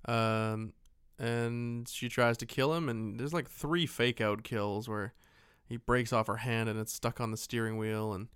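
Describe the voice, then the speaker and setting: A man co-hosting a podcast, casually retelling a film in a joking, animated way and happy to swear. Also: medium pitch, a little slow, hardly any pauses, smooth, no room sound, clean studio sound. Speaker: podcast co-host. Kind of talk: casual film recap chat.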